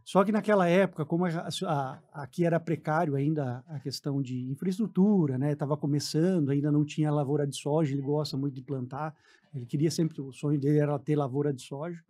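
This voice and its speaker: Mellow southern Brazilian man, higher voice. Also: fairly even intonation, a little fast. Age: 40s. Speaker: interview guest, businessman. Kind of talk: casual storytelling in a radio interview. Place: radio studio.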